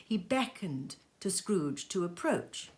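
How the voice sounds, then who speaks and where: A woman reading a classic story aloud as expressive narration, with dramatic, deliberate and emphatic phrasing. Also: fairly low pitch. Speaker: storybook reader.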